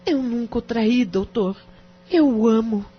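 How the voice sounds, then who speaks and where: A woman's voice: a little high, unhurried, husky, soft background music. A Brazilian woman playing a distressed, sincere wife in an audio drama, speaking formally and earnestly. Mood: earnest, pleading. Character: distressed, sincere wife pleading her case to a lawyer. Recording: dull, muffled radio drama recording.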